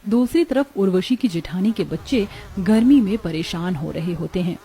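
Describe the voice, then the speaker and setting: A woman's voice: fairly low pitch, expressive, normal speed, warm tone, faint music behind. A woman narrating a scripted story.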